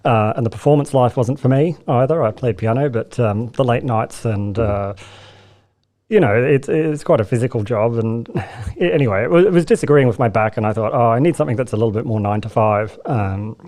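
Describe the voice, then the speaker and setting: A man's voice: medium pitch, a little fast, darkish tone. A man in his thirties with an Australian accent, chatting as a guest on a podcast, talking loosely with lots of fillers and restarts. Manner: chatty and self-deprecating, many fillers and restarts. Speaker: guest, speech and language therapist. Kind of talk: casual podcast interview.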